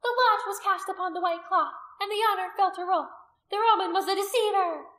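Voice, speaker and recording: A woman voicing a venomous, imperious pagan high priestess in an audiobook, declaiming accusations as if to a crowd. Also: brisk, sharp tone, reverberant. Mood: fiery, disdainful. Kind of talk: dramatic audiobook character acting.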